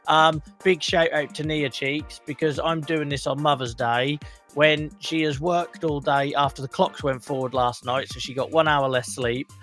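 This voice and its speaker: A croaky man hosting a casual livestream talk show, chatting and greeting viewers by name. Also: mid-range voice, fairly bright tone.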